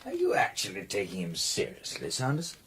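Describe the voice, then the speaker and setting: An actor playing an obliging, faintly sceptical gentleman in a radio drama, speaking hesitantly with small stammers. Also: lowish voice, huge pitch swings, brisk, slightly rough, heavily acted. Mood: incredulous.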